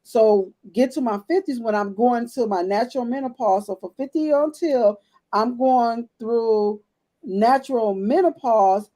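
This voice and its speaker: A woman's voice: on the high side, a little slow, warm tone. A woman in her late fifties candidly telling her own health story in a casual chat, speaking straight to the women listening. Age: 50s. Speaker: podcast co-host.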